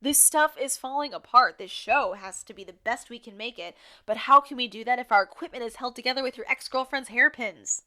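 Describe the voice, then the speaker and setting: Casting: outspoken female radio host for audio drama; high, very bright voice, wry, determined, pointed delivery. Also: brisk, hardly any pauses. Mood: wry, insistent.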